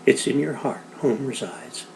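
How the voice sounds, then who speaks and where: A man voicing a devout mother who speaks softly and tenderly at bedtime. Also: medium pitch, a little slow, creaky, a little hiss or hum, rough recording. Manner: soft, hushed, tender. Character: gentle, devout mother saying goodnight.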